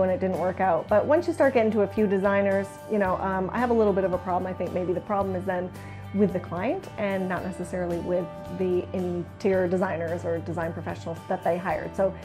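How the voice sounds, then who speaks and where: A woman's voice: medium pitch, dark tone. A woman with a Canadian accent giving informal instructional advice to viewers as a business coach, chatty and candid. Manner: chatty, candid, acts out client remarks. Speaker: business coach for interior designers. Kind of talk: informal instructional video to camera.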